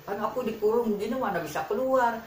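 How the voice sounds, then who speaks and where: A woman's voice: fairly high pitch, quick pace, a little mellow, distant mic, pitched above the performer's own voice, lightly acted. A woman voicing a sly young mouse deer in a children's tale, pleading and coaxing in a sing-song way. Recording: roomy echo with faint background noise.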